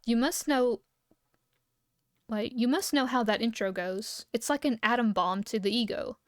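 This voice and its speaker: A man's voice: very high-pitched, measured pace, a bit bright, no echo, clean studio sound. Woman reading as a bewildered young researcher. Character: rattled young male researcher, disoriented and earnest.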